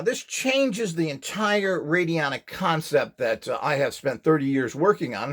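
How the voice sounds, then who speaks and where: Animated, higher-pitched middle-aged man lecturing bluntly. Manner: rambling, blunt and scornful, emphatic. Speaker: instructor and host of a how-to video series. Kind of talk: informal opinionated lecture, off the cuff.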